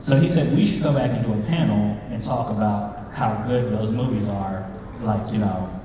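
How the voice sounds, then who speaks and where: A man's voice: on the low side, moderate pace, mellow, far from the mic. Man joking casually in an echoey, noisy hall. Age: 30s. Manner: joking, informal. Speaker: panel co-host, movie reviewer. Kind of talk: casual convention panel presentation. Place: convention panel room with audience, indoors.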